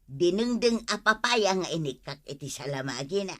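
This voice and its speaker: An actress playing a long-suffering, grumbling village housewife with an Ilocano accent in a radio comedy drama. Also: female voice, lowish voice, animated, moderate pace, fairly bright tone. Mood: grumbling, resigned.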